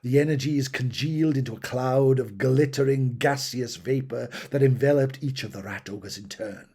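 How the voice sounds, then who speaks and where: Male storyteller, middle pitch, husky edge, for emphatic, dramatic fantasy narration. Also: normal speed, darkish tone. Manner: theatrical, emphatic delivery. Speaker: audiobook reader.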